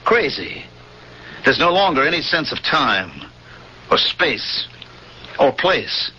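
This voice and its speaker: A frightened ex-smuggler pilot. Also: male voice, a little high, sing-song, bright, forceful tone, slight background noise, full character voice. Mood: fearful. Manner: tense, insistent, halting under fear. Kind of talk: 1970s radio drama acting with narration. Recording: dull 1970s radio broadcast.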